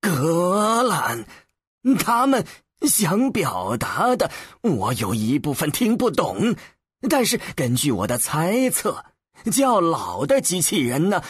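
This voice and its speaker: Audiobook role: flustered, modest old scholar played by a man, halting, high-pitched and slightly rough. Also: no echo, clean studio sound, heavily acted. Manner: hesitant, halting, apologetic. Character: flustered, modest older male scholar acting as translator. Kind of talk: acted audiobook dialogue.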